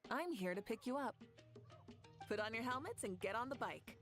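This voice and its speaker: Casting: assured, playful young female game leader, high and animated delivery. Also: moderate pace, soft background music. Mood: assured, friendly. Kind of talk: video game character acting.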